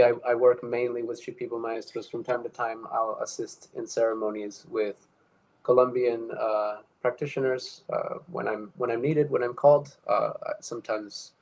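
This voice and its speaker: A man's voice: medium pitch, low-quality recording. Reflective man from Ecuador, many fillers. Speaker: guest. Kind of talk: casual conversation, personal story.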